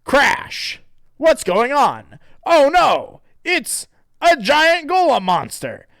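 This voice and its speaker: A chirpy male storybook narrator with a singsong announcer lilt, pitched high, as a man puts him on. It is recorded dry and close. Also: huge pitch swings, extremely slow, gravelly, a bit bright, dry recording, full character voice. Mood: announcing.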